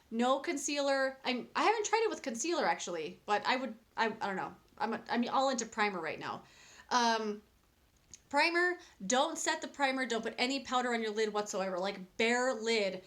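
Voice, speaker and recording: A woman's voice: on the high side, a bit bright. A woman in her thirties giving a casual makeup review at home, chatty and candid, rambling with fillers and restarts. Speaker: beauty vlogger. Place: small cluttered home room, indoors.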